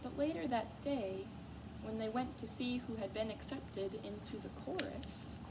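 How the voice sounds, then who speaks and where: A woman with a fairly high voice reads a children's book aloud. Her narration is expressive and made for young listeners. Clear noise sits behind the voice. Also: unhurried. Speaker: storybook reader.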